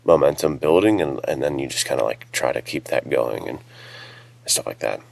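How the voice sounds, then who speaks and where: Very deep-voiced man, creaky and dry. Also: moderate pace, darkish tone, dry recording. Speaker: author and podcast panelist. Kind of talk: casual chat.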